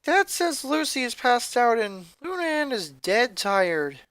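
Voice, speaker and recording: Narrator voicing a firm, bright eldest teenage sister. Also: mid-range voice, big pitch swings, unhurried, no echo, pitched above the performer's own voice. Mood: weary. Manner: firm, earnest, emphatic.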